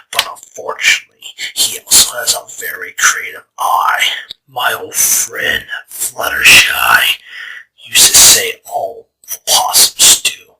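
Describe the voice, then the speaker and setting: Man playing a chaos lord, theatrical, bright tone, lively swoops. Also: fairly low pitch, creaky, a little hiss or hum, exaggerated voice, poor audio. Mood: wistful. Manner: theatrical, showy storyteller. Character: grandiose old male draconequus lord of chaos. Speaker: fan voice actor. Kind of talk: comic fan dub acting.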